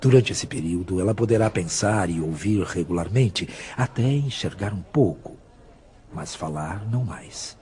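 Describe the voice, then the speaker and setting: An actor playing a wise, humble spirit mentor in an audio drama, speaking gently and with measured, instructive patience. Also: male voice, sits low, expressive. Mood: solemn, consoling.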